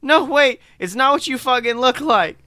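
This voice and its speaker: A man voicing a sulky, angsty teenage goth boy with drawn-out melodrama in a comic story reading. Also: very high voice, expressive, pushed and bright, dry recording, pitched above the performer's own voice, exaggerated voice. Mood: pleading.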